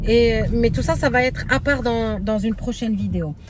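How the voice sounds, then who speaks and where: A woman's voice: fairly high pitch, moderate pace. A woman who runs a recipe channel chats casually in a vlog, hesitant, with many fillers and repeated words, recorded in a car on the road. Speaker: keto recipe YouTuber. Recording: loud road noise from a moving car. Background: loud traffic noise.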